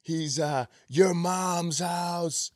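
A man voicing a hyped-up DJ announcing acts to an arena crowd, with loud, drawn-out calls in a storytelling bit. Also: a little high, fairly even intonation, dry recording, clean studio sound, pitched above the performer's own voice. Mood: hyped, excited.

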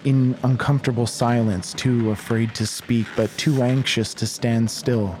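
Slow, measured male horror narrator. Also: fairly low pitch, darkish tone, a little hiss or hum, poor audio. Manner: measured, literary storytelling. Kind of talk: scripted horror audio drama narration.

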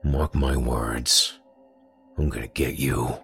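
A man voicing a gruff, hostile male bear detective who talks bluntly and threateningly. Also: low-pitched, lively intonation, measured pace, fairly bright tone, faint music behind, exaggerated voice.

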